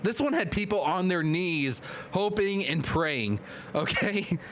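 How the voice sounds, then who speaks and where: Male stream host, high voice, excitable play-by-play caller, hoarse and congested. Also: slight background noise. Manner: excitable, hyped play-by-play with quick asides to chat. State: losing his voice, nose clogged. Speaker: league commentator and stream host. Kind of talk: live-streamed play-by-play commentary.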